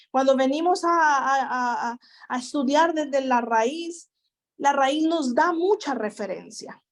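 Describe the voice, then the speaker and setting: A woman teaching a sermon over an internet call, repeating phrases and exhorting her listeners. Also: high-pitched. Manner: slow, repetitive preaching with exhortations. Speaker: Bible teacher. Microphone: internet call connection.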